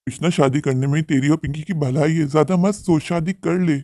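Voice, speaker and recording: Man playing quick-talking, insistent supportive friend. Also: a little high, mellow, dry recording, studio quality, natural voice. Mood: urging. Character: supportive, advising young male friend, practical and insistent. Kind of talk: Hindi cartoon dialogue.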